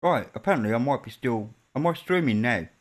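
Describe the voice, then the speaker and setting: A man's voice: medium pitch, expressive, fairly quick. Chatty Brummie man hosting a stream. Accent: Birmingham. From England. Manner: chatty, stumbles and repeats words. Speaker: stream host. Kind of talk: casual chat on a live stream.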